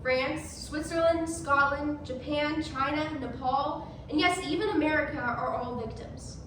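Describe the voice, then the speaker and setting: A woman's voice: high voice, a little slow. A young woman delivering scripted narration as a readers theatre performer on stage. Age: young adult. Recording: echoey hall from far off, noisy.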